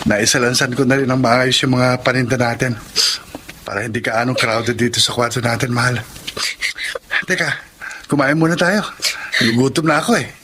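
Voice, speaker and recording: A man's voice: mid-range voice, normal speed, pauses often, noisy background, lightly acted. A man acting a devoted, comforting young husband and father with a Filipino accent in an audio melodrama. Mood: caring, concerned. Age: young adult.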